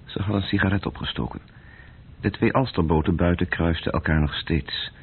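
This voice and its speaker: A man narrating a literary radio play in a measured, detached, sombre manner. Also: sits low, vocal fry, breathy edge, heavily acted. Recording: thin, phone-like old radio recording.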